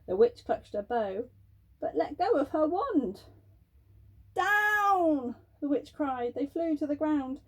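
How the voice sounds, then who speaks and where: A woman's voice: high-pitched, lively intonation, measured pace, warm tone. A woman storyteller reading a children's bedtime story aloud expressively, speaking directly to young listeners.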